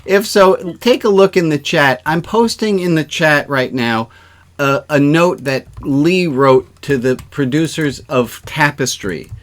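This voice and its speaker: A man's voice: a little high, unhurried, fairly bright tone. A man speaking as a rabbi, giving chatty, informal announcements to an online congregation on a video call, with joking asides. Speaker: rabbi hosting an online service.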